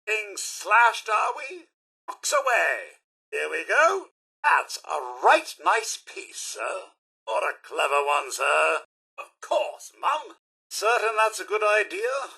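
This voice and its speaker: An actor playing a fussy, chipper robot butler in a video game, with a British accent and a polite, pompous, theatrical manner. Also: male voice, high-pitched, pushed and bright, breathy edge, full character voice. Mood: eager, dutiful. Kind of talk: video game character voice lines.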